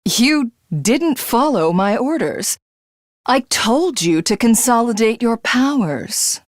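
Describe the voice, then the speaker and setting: A woman's voice: a little high, expressive, takes it slowly, no room sound, clean studio sound. A woman voicing a haughty, pompous alien warlord who craves godhood, in theatrical video game villain style with formal, condescending diction. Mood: contemptuous, menacing.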